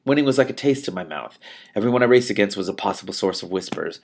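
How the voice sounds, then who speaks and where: A man's voice: fairly low pitch, fast, vocal fry. A man reading a novel aloud expressively, breaking off now and then to add spontaneous comments of his own. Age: adult. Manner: animated, reacts aloud to the text and breaks off to comment. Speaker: reader and commentator of a book read-along show.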